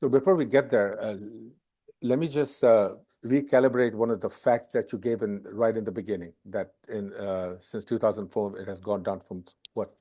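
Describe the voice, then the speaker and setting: A man's voice: on the low side, moderate pace, mellow. A man who is not a native English speaker, appearing as a guest in a recorded interview over a remote call, with a wry, corrective way of talking. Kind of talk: interview conversation.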